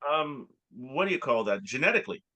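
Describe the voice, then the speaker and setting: Bright-voiced rambling man. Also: medium pitch, sing-song, poor audio. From Canada. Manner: rambling, halting storyteller with frequent filler sounds and chuckles. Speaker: podcast co-host. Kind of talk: casual comedic chat.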